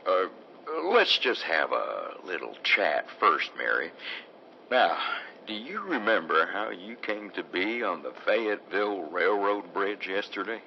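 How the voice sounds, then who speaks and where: An actor playing a courteous, folksy country sheriff in a radio drama. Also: male voice, deep voice, animated, a little slow, creaky, full character voice. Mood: folksy, gentle. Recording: dull old-radio sound, faint noise.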